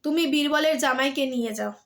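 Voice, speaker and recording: A woman playing an imperious, quick-tempered male emperor in a storybook tale, commanding and indignant. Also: high-pitched, a bit bright. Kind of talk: dramatic storybook character reading.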